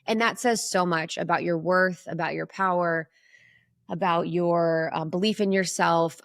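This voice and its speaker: A woman's voice: fairly low pitch, no room sound, studio quality. A woman from Ohio with an Ohio accent, telling a personal story as a podcast guest in a candid, reflective way. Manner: candid, reflective, frequent "like" fillers.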